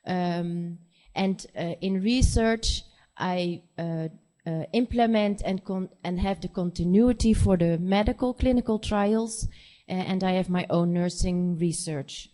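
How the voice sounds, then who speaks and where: A woman with a Dutch accent, a nurse practitioner giving a conference presentation in English, her second language, speaking haltingly with many fillers. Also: lowish voice, warm tone. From Netherlands. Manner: hesitant, halting speech with frequent fillers.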